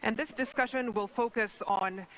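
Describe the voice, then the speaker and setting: Bright-voiced moderator over a muffled PA. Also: female voice, a little high, normal speed, a little hiss or hum. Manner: polished, formal addresses to dignitaries. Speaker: event moderator. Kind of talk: formal event hosting.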